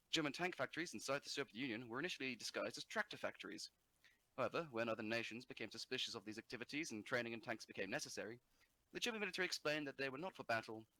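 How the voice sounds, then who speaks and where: A man's voice: mid-range voice, pushed and bright, poor audio. A man reading scripted history narration as a narrator, sometimes stumbling over words.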